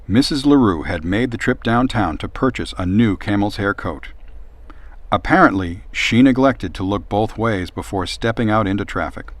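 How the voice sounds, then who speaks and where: A man's voice: lowish voice, lively intonation, moderate pace, dry recording. A man reading a children's storybook aloud as a narrator. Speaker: storybook reader.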